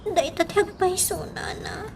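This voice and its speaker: A woman's voice: very high voice, measured pace. A woman playing an ailing, anxious young woman in a radio drama, with an Ilocano accent. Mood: pained, weak. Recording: clear birdsong and background noise.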